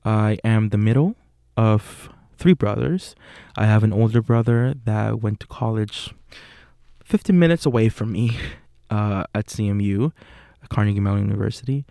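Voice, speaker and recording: A young man with a Puerto Rican Spanish accent hosts a solo podcast. His low, mellow voice carries a gravelly fry, and it sounds close and dry. He speaks in an earnest, unhurried way and often pauses on fillers to correct himself. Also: no echo. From Puerto Rico. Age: young adult. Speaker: podcast host.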